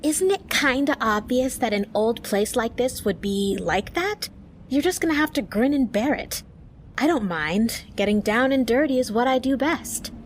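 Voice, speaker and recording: A woman's voice: a little high, expressive, moderate pace, slight background noise, lightly acted. A woman playing a brash, crude, tomboyish young woman in an audio drama, blunt and cheeky. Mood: wry.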